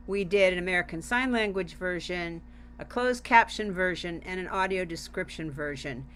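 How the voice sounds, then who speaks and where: A woman speaks informally to an online audience on behalf of a theater center, explaining and promoting its work. Her voice is bright and forward at a medium female pitch, with faint noise behind it on the call. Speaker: theater center staff member.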